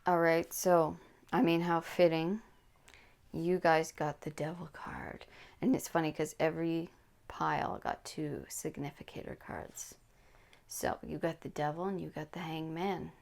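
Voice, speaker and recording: Low, gravelly woman giving a soft tarot reading. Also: level tone. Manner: slow, soothing, drops into whispers. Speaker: tarot reader. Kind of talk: pick-a-card tarot reading.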